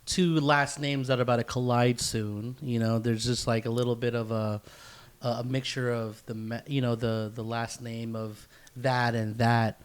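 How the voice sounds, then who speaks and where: A man's voice: medium pitch, level tone, normal speed, clear, resonant tone. A man co-hosting a casual podcast among friends, joking, swearing and repeating himself as he talks. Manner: profane, jokey, repeats himself. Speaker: podcast co-host. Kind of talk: casual banter between friends.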